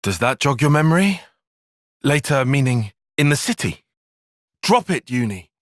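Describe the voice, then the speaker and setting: A man playing a cerebral, earnest young soldier and strategist in a video game, speaking in precise, formal, analytical language and quick to exasperation. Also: mid-range voice, sing-song, no room sound, clean studio sound, natural voice. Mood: exasperated. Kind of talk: video game cutscene voice acting.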